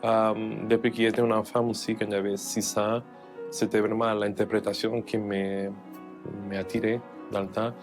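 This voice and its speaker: A man's voice: fairly low pitch, flat delivery, moderate pace, over music. A man from Cuba, a jazz pianist, speaking French as an in an interview, hesitant with many fillers and restarts. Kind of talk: interview testimony.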